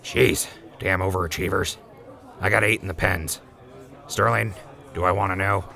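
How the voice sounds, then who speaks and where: A man playing a crude, gravelly wasteland ranch hand. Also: low-pitched, fairly even intonation, moderate pace, bright, forceful tone, heavily acted. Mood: wry. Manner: swears casually, ribs his friends. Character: crude, blunt livestock hand, gruff and teasing. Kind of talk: audio drama acting.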